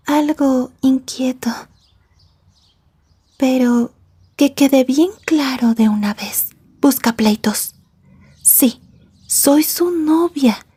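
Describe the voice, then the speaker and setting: Woman acting a high, breathy, sweet schoolgirl girlfriend. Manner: soft-spoken, dropping into whispers. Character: sweet, bookish schoolgirl girlfriend with a possessive edge. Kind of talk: ASMR roleplay acting in Spanish.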